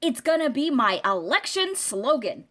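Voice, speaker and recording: A woman's voice: high voice, big pitch swings, normal speed, heavy background noise. A woman playing a cheerfully scatterbrained, innocent wife in an old-time radio comedy, bubbly and earnest.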